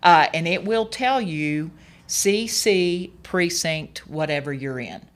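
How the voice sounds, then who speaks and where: A speaker in their forties, a hoarse and slightly gravelly voice, talking as a candidate on a radio interview, rambling through fillers and restarts in an earnest way. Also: mid-range voice, takes it slowly. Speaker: political candidate.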